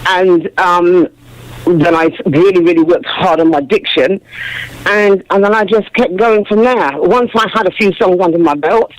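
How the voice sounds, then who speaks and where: A woman jazz singer with a big, deep speaking voice, chatting warmly in an interview and laughing often. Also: a little slow, no room sound, poor audio. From family from Dominica, West Indies. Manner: warm, effusive, laughs often. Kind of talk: casual interview chat.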